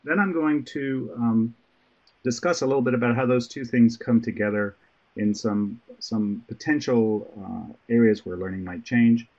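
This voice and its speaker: A man's voice: medium pitch, moderate pace, darkish tone. A man from Ireland with a Southern American accent, presenting a webinar in a conversational way with frequent restarts. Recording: muffled online call audio.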